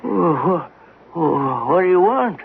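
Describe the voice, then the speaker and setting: An actor playing a frightened, cornered street beggar in a 1970s radio drama, stammering and pleading in rough street talk. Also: male voice, a little high, very animated, takes it slowly, full character voice. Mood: nervous, defensive. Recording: phone-like, noisy vintage radio recording.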